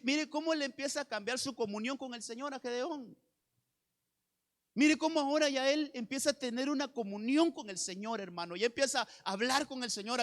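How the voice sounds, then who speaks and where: A male evangelical pastor with a Honduran accent delivers a sermon in a notably high voice. He exhorts his listeners directly and repeats phrases to press his point. The sound carries the long reverb of a large hall. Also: a bit bright. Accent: Honduran Spanish.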